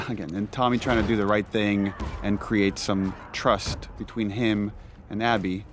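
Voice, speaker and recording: Guy with kinda low voice, game director casually talking through behind the scenes stuff. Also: steady tone, a little slow, background noise.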